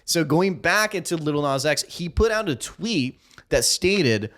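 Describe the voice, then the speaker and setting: Lively-voiced young man hosting a podcast. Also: fairly high pitch, unhurried. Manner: joking, rambling, tells off-color jokes. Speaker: podcast host. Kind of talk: casual chat.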